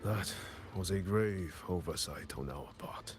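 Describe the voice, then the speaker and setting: An actor playing a grave, dignified commander of a besieged city in a video game, speaking formally with measured authority. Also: male voice, deep voice, over music. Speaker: military commander character. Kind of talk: scripted video game dialogue.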